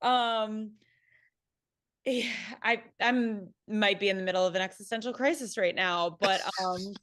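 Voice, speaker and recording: A woman speaking casually as a theater panelist, with a halting, informal and self-deprecating way of talking. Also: mid-range voice, a bit bright, dry recording, clean studio sound.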